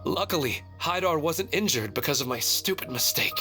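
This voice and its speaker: A man's voice: a little high, expressive, normal speed, sharp tone, faint music behind. A man voicing a humble, grateful and contrite townsman in a video game. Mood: grateful, sorry.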